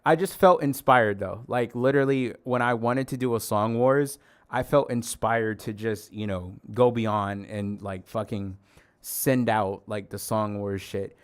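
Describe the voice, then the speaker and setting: A man livestreaming and chatting loosely with his viewers, loud, profane and jokey, saying he is losing his voice. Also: lowish voice, unhurried, smooth. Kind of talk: casual stream chat to viewers.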